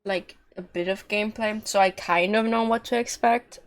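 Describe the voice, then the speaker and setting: A woman's voice: medium pitch, steady tone, moderate pace. A woman streaming a game, chatting casually and informally in a rambling way. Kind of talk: casual livestream chat. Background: game music.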